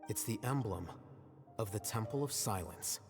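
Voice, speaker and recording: Guy voicing a calm scholarly young man in a game, kinda low, unemotional explainer, soft music behind. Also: normal speed, lightly acted. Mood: analytical. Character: composed, scholarly young man, coolly knowledgeable. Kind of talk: video game voice acting.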